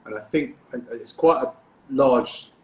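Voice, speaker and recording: A man's voice: mid-range voice, slow, a little hiss or hum. British man hosting, echoey room. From United Kingdom. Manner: relaxed, encouraging, repeats words when starting. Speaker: freediving coach and podcast host. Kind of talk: casual podcast interview.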